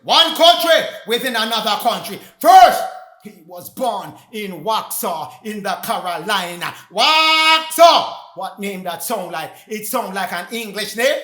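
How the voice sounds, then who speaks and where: A man preaching in a loud, sing-song shout, firing off rhetorical questions. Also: sits high, measured pace, reverberant.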